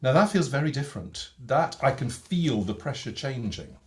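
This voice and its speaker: A man's voice: medium pitch, very animated, moderate pace. Middle-aged man coaching voice. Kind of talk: teaching demonstration with sung vowels. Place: home studio, indoors. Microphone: studio microphone with headphones.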